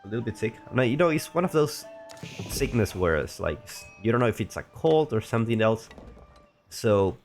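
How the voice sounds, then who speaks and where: Male game caster, slightly sick, low rough voice, rambling asides, faint music underneath. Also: sing-song, fairly quick. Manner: rambling, chatty, frequent restarts and asides. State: a little sick, voice deeper than usual. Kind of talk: casual play-by-play commentary.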